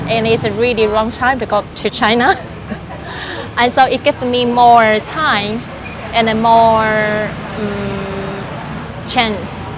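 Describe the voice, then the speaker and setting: A woman from Taiwan, a life and business coach chatting in an interview with many fillers and light laughs. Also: a little high. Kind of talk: casual interview answers. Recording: noisy background.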